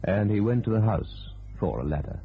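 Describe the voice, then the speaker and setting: A man narrating a grim crime thriller radio play in terse, deliberate sentences with a very deep, gravelly voice. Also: animated, slight background noise. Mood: grim, suspenseful. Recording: tinny band-limited radio recording, music behind.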